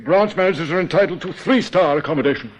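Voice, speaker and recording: An actor playing a prickly, self-important British bank manager in a radio drama, curt and humourless. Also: male voice, on the high side, quick pace, lightly acted. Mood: haughty. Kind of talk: broadcast radio drama acting. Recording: dull noisy old radio recording.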